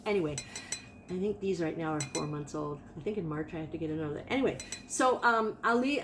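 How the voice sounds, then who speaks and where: Woman in her late fifties, rambling casual talk, lowish voice, faint kitchen clatter. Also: noisy background. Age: 50s. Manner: chatty, rambling, with frequent restarts. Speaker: vlogger. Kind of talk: casual personal testimonial.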